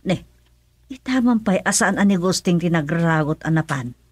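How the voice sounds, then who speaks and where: A woman's voice: fairly low pitch, expressive, normal speed, slightly rough, dry recording. Opinionated Ilocano village mother played by an actress. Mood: curious. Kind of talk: Ilocano radio comedy-drama acting.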